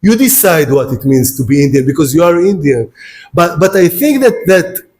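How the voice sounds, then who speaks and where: A man's voice: a little high, very animated, normal speed, darkish tone. A man with an Israeli accent, a native Hebrew speaker, giving a university philosophy lecture and speaking straight to his audience, often repeating and restarting his words. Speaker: guest lecturer. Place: lecture hall.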